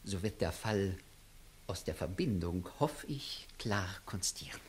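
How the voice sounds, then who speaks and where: Sly fussy court clerk, very husky breathy voice, deferential, swoopy pitch, old radio play. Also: male voice, animated, unhurried, full character voice. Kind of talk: 1960s German classical comedy radio play acting.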